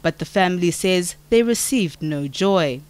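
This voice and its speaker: A woman reading a scripted television news report in a measured, formal way. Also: on the low side, lively intonation, smooth. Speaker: field reporter.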